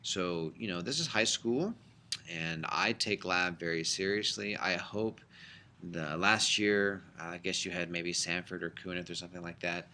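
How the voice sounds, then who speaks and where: A middle-aged man teaching a high school biology class in a recorded lecture, explaining in a conversational way with frequent fillers. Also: measured pace, bright, forceful tone, slight background noise.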